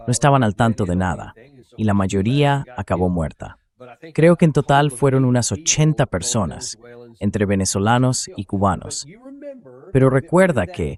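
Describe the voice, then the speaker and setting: Low-pitched synthetic man, political commentator. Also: darkish tone. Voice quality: synthetic AI dubbing voice. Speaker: host of a political commentary show. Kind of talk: spoken political commentary, dubbed translation.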